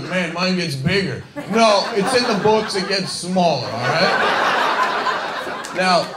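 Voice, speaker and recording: A young man from Scarborough doing a self-deprecating, rambling stand-up set on a club stage with a handheld mic. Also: high voice. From Scarborough, Canada. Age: young adult. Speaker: stand-up comedian. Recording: echoey club with loud laughing crowd. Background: audience laughter.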